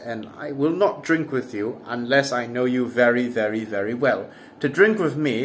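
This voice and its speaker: A man, talking to camera in a casual vlog monologue, full of wry, self-mocking jokes. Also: mid-range voice. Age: 30s. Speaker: political commentary vlogger.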